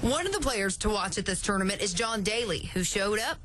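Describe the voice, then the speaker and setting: A woman's voice: medium pitch, lively intonation, brisk, a bit bright. A woman reporter narrating a scripted television news story.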